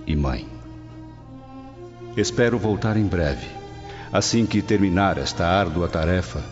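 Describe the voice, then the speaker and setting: A man with a Brazilian accent playing a tender, wistful Roman husband in a radio drama, speaking formally. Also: sits low. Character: lovesick, earnest Roman husband, tender and longing. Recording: dull audio, long reverb, music behind.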